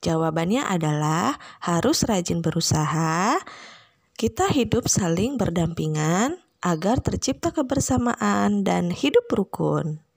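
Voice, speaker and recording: A woman speaks as a primary school teacher in a scripted lesson. She goes slowly and deliberately, setting out each item for young pupils. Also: on the low side, lively intonation, gravelly, dry recording. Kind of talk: scripted lesson with questions and answers.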